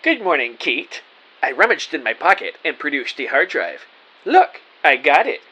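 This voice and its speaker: A man's voice: fairly high pitch, sing-song, normal speed, pushed and bright, husky, dull audio, full character voice. A man playing an earnest, nervous rookie detective, narrating an audio drama in the first person. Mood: upbeat, earnest.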